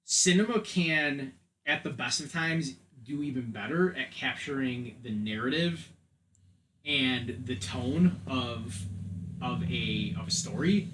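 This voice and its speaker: A man's voice: medium pitch, animated, takes it slowly, fairly bright tone, a little hiss or hum, rough recording. A man in a casual podcast discussion, talking in a rambling way with "like" sprinkled all through. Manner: rambling, peppered with "like". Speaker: podcast co-host.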